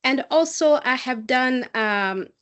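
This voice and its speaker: Female intern, Tanzanian accent, fairly high voice, semi-formal presenting style. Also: lively intonation, slow, fairly bright tone. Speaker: intern, energy engineer.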